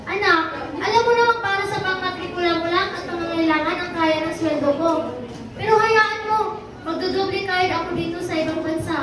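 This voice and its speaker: Very high female voice, woman acting a devoted pleading mother, radio drama, heavy echo, tender. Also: fairly quick, background noise. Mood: loving, pleading. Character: patient, loving, self-sacrificing mother working abroad. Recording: very echoey, distant, music behind.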